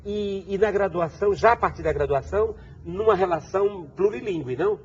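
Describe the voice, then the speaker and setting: A man's voice: high voice, a little fast, lo-fi sound. A man hosting a TV interview, often repeating and prompting his guest's answers. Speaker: interview host. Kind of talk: conversational TV interview.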